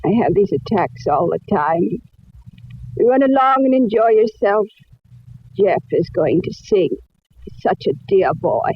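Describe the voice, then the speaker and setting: Woman acting a slow, groaning, doting old duchess. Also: medium pitch, animated, lightly acted. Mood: doting, weary. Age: older. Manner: slow, gracious, fussing endearments. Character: ailing, doting older society lady known as the Duchess. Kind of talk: 1940s radio acting. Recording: muffled, noisy 1940s radio recording.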